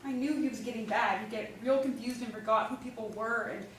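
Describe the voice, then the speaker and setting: A woman's voice: on the high side, steady tone, brisk, natural voice. A woman playing a hesitant, naive young employee in a staged play reading. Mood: uneasy. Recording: very echoey room, distant mic, faint noise.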